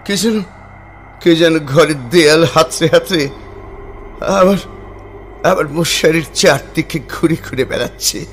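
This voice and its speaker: A man playing a brooding young poet who narrates a ghost story in an audio drama, measured and pausing for suspense. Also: fairly high pitch, a little hiss or hum, lightly acted. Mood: uneasy, suspenseful. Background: music.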